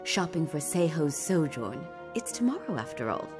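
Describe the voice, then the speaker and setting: An actress playing an amiable wife in a fantasy video game. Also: female voice, sits low, sing-song, normal speed. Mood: friendly. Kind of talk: dramatic video game voice acting. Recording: music behind the voice.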